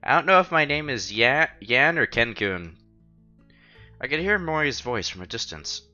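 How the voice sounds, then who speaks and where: A man streaming a visual novel, reading the story aloud with casual, playful, expressive commentary. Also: medium pitch, normal speed. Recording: dull lo-fi sound, music behind.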